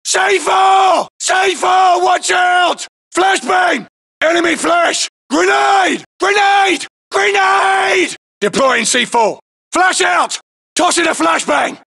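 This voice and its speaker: An actor playing a tough British SAS soldier in a military shooter game, yelling urgent, clipped battle callouts. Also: male voice, very high-pitched, takes it slowly, gravelly, heavily acted. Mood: urgent, shouting.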